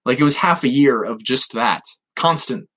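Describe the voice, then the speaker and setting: A man's voice: mid-range voice, moderate pace, fairly bright tone. A man co-hosting a podcast with casual, joking banter and exaggerated emphasis. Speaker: podcast co-host.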